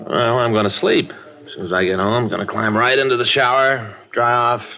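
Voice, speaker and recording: An actor playing an easygoing, weary police detective sidekick in a 1950s radio drama, talking in a folksy, conversational way. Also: male voice, mid-range voice, fairly even intonation, brisk, natural voice. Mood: weary, grumbling. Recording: dull old radio recording.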